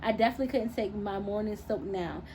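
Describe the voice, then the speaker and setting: A woman reading her own novel aloud as expressive first-person narration. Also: mid-range voice, fast talker, a little hiss or hum.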